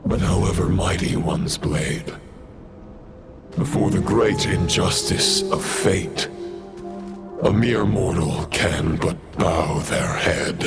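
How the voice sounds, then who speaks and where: A merciless ancient dark knight in a fantasy game, his voice thick with gravel. He orates slowly and solemnly, with grand menace, over loud music. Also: deep voice, heavily acted. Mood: solemn, bitter. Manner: solemn, menacing, theatrical oratory. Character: grandiose, merciless dark fallen knight, centuries old villain. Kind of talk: dramatic fantasy video game acting. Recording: dramatic game music behind the voice.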